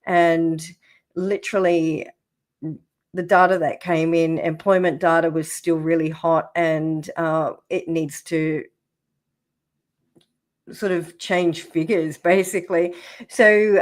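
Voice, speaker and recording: A woman hosts a relaxed livestream while getting over the flu, so her voice comes out croaky. She talks at an unhurried, drawn-out pace, rambling and filling pauses as she goes. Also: fairly low pitch. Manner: chatty, rambling, many fillers. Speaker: crypto livestream host. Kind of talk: casual livestream chart talk. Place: home setup, camera off.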